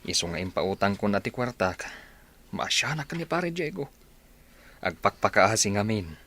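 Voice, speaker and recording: A caring village husband in a radio drama, lowish voice. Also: male voice, very animated, normal speed, sharp tone, full character voice. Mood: concerned. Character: sympathetic village husband discussing neighbours' troubles. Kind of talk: Filipino radio drama acting.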